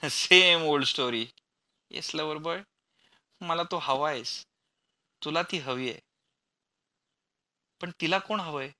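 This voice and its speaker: A man's voice: on the high side, animated, takes it slowly, long pauses, bright, forceful tone, full character voice. A man doing a frantic young woman, pleading then mocking. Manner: sobbing, hysterical laughing and taunting.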